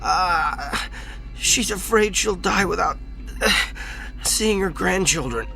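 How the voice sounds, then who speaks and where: A man playing a tormented doctor in a radio drama, his voice strained, halting and pleading, crying out in anguish. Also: high-pitched, lively intonation, vocal fry, pushed and bright, heavily acted, rough recording. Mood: halting, afraid. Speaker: actor. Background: music.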